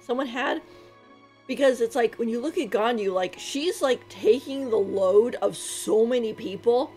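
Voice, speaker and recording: A woman's voice: a little high, measured pace, mellow. A woman giving casual live commentary as a game streamer, with giggly, effusive reactions. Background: game music.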